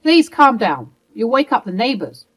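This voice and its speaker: A woman's voice: a little high, sing-song, moderate pace, husky. A woman voicing a stammering, guilty husband. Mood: pleading. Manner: pleading, stammering. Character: cheating husband, adult man, evasive and stammering.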